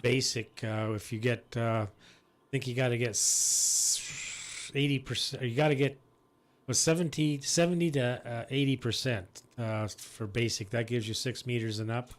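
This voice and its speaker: A man's voice: medium pitch, fairly even intonation, a little slow. An older man in casual amateur radio chat, rambling and repeating himself.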